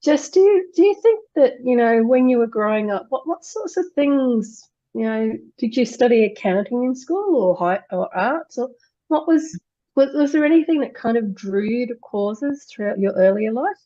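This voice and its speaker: Effusive woman host, mellow dark tone, dull lo-fi recording, gushing praise with restarts. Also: fairly high pitch, lively intonation, normal speed. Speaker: show host. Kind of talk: upbeat interview hosting. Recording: dull lo-fi sound.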